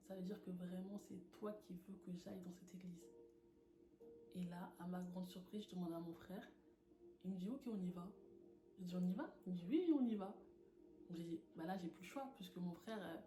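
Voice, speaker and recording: Femme à la voix grave, pièce écho. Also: female voice, lowish voice, a little fast, over music. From France. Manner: earnest and animated, laughing often. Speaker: testimony speaker. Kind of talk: personal faith testimony. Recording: echoey room with noise.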